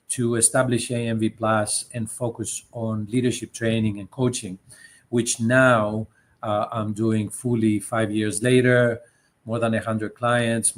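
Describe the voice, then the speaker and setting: A man's voice: on the low side, darkish tone. Middle-aged man. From Greece. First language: Greek. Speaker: guest, leadership coach. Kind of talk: conversational interview storytelling.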